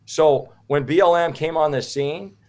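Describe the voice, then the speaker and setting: A man's voice: a little high, a little slow, a little mellow. A man from the United States speaking as an interview guest, informal, opinionated and rambling. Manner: informal, rambling, checks in with "right?".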